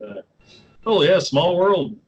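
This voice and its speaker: Man, West Virginia accent, laid-back folksy host, slightly high voice, casual chat. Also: very animated, slow pace, dull audio. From West Virginia, USA. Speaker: YouTube show host.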